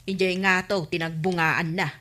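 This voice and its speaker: A woman playing a sharp-tongued, scolding village woman in an Ilocano comedy radio drama, argumentative and exasperated, with an Ilocano accent. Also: on the low side, fairly even intonation, moderate pace. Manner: nagging, argumentative.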